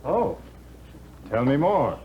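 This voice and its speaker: A wry, skeptical young husband. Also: male voice, mid-range voice, big pitch swings, takes it slowly. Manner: wry, teasing, lightly sarcastic. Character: young husband, skeptical and joking new lord of the manor. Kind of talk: 1950s radio drama acting. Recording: dull, faintly hissy 1950s radio broadcast.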